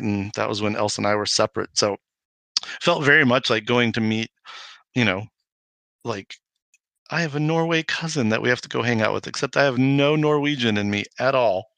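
A man's voice: medium pitch, moderate pace, a bit bright, smooth, no room sound. A man, a podcast guest, talking casually and anecdotally, rambling as he retells things and acts out bits of dialogue. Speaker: podcast guest, homebrewing video maker. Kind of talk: casual anecdotal interview talk.

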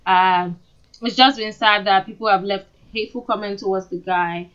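A woman's voice: mid-range voice, fairly even intonation, moderate pace, fairly bright tone, clear, resonant tone. Nigerian woman podcaster, slightly dull audio. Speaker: podcast co-host. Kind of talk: casual conversational discussion.

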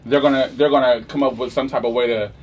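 A man who hosts clubs, talking in a laid-back, halting way on a casual podcast. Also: mid-range voice, fairly even intonation, distant mic, a little hiss or hum. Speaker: club host and promoter. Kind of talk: casual group chat.

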